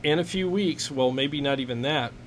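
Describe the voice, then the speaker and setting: A man's voice: fairly high pitch, normal speed. Jokey man chatting on a noisy livestream. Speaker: host of a live gear Q&A stream. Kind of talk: casual chat. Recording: noisy live stream recording.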